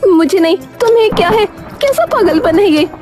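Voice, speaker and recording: A woman voicing an agitated village wife with a shrill, scolding, questioning manner in a cartoon story. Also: animated, moderate pace, a little mellow, soft background music. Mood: indignant.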